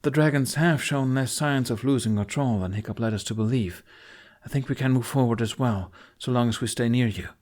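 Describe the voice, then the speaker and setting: Man playing earnest, brainy Viking boy, raised pitch. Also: fairly quick, a little mellow, natural voice. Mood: deliberate. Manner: musing, thinking aloud.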